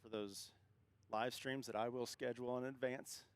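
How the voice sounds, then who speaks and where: A man's voice: mid-range voice, steady tone, moderate pace. A man hosting a casual live stream, reading out viewer comments and thanking people effusively, often repeating himself. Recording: clear background noise, outdoor airport ambience.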